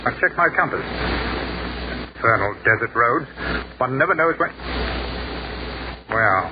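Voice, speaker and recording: An actor playing a polite, scholarly English captain with dry wit, clipped and understated, in 1940s radio drama style. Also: male voice, animated, a little slow, lo-fi sound, close to an everyday voice. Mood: uneasy, insistent. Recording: noisy 1940s radio broadcast, vehicle rumble.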